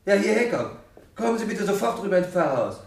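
An actor playing a calm, matter-of-fact village doctor in a German radio play, dry and measured. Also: male voice, high voice, creaky, echoey room, heavily acted. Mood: sober.

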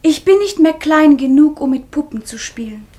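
Aloof young woman, high voice, cold, measured, radio drama. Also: lively intonation, mellow. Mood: disdainful. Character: cool, aloof young woman rejecting affection. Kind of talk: German radio play acting.

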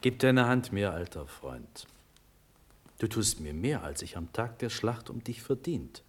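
An actor playing a young, nobly resolute prince in a classical radio drama, declaiming solemnly in measured verse. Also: male voice, on the low side, big pitch swings. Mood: quiet, resigned.

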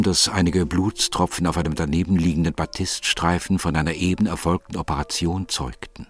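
A man with a husky voice narrating literary fiction in a measured, solemn manner. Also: sits low.

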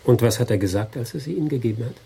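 A man playing a watchful, soft-spoken detective in his thirties questioning people in a radio drama. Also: medium pitch, rapid-fire, gravelly, a little hiss or hum, close to an everyday voice. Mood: probing, polite.

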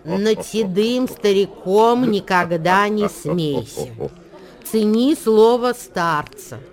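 An actress in a radio play voicing a solemn female reciter of ancient wisdom verse, measured and declamatory in delivery. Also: mid-range voice. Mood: solemn, instructive. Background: music.

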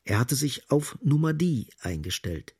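A man narrating a literary radio play in an observant, expressive manner, with a slightly husky voice. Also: mid-range voice, unhurried, exaggerated voice. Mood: observant, measured.

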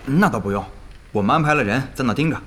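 An actor playing an eager, deferential gamer guild organizer in an audio drama, chatty and excitable. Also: male voice, mid-range voice, fast talker, slight background noise, natural voice. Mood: businesslike.

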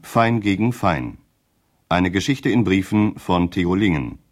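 Male announcer, low voice, very unhurried pace, formal radio credits delivery. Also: very animated. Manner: formal, deliberate.

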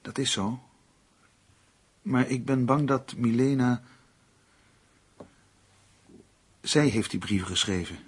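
A very husky, evasive husband in a radio drama. He talks at a middling pitch, placating and dismissive, with tense hesitations. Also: measured pace. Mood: worried, hesitant. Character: tense, evasive husband taking a nervous woman away. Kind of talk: Dutch radio play acting.

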